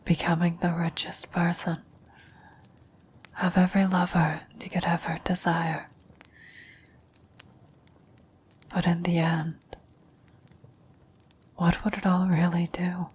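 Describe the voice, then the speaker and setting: A woman playing a frail, dying old woman in a whispered ASMR roleplay, gentle, wistful and philosophical, speaking slowly and haltingly. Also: on the low side, little pitch movement. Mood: gently weary. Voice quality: breathy whisper. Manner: slow, halting, reflective delivery with long pauses.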